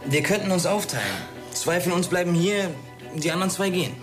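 A man's voice: high voice, fairly quick, natural voice. A young man playing a cocky, needling teenage schoolboy in a naturalistic radio drama, talking in a taunting, colloquial way. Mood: challenging. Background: music.

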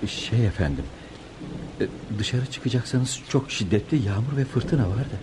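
A man's voice: mid-range voice, expressive, unhurried, noisy background, natural voice. A man playing a humble, devout dervish disciple in Turkish radio theatre, respectful and eager to serve. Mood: deferential. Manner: respectful, deferential.

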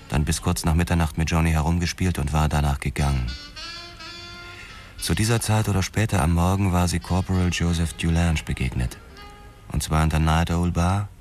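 An actor playing a police detective who narrates a grim murder investigation in a measured, matter-of-fact crime radio play style. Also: male voice, sits low, close to an everyday voice. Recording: music under the voice.

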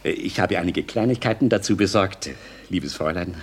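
An actor playing a genteel, teasing elderly father in a radio play, with a husky voice and a courtly, ironic manner. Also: deep voice, normal speed. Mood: courtly, amused. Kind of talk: German-language radio play acting.

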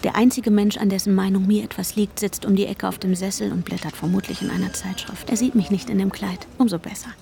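A woman's voice: medium pitch, fairly even intonation, fast talker, vocal fry, few pauses, dark tone, lightly acted. An actress playing an intense, lovestruck woman, telling her own story intimately and broodingly in a radio drama, at times dropping to a whisper. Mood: wry, confiding. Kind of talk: German radio drama first-person narration. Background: music.

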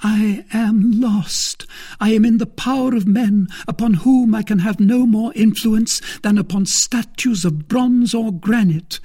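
A man's voice: sits high, mellow, husky, pitched above the performer's own voice, exaggerated voice. A man voicing a scheming captive noblewoman. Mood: despairing. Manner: intense, slow, seething delivery.

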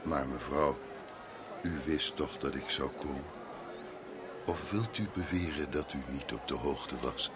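An actor playing a calm, self-assured wandering prophet in a radio drama, gravelly, measured and courteous with gentle irony. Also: male voice, very deep voice. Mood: courteous, ironic. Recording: noisy radio play amid loud crowd.

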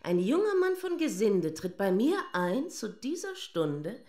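Theatrical, sensual, husky middle-aged lady. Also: mid-range voice, big pitch swings, a little slow, darkish tone. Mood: mock indignant, seductive. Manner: grandiose, breathy, dramatic phrasing with sudden whispers. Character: sensual, theatrical lady writer craving self-abasement. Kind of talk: German radio play acting.

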